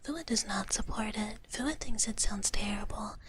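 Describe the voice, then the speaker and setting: A woman whispering softly and breathily in a cutesy ASMR roleplay as a cozy dragon big sister, chatting with viewers close to the mic. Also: mid-range voice, normal speed, slight background noise. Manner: cutesy, speaks of herself in the third person. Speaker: ASMR livestream host.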